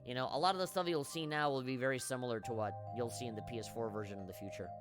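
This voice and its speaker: A man streaming a story game, chatting casually and rambling with lots of fillers; his voice is a little hoarse from a cough. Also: medium pitch, very fast, music in the background. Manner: rambling, filler-heavy, chuckles often. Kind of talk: casual live-stream commentary.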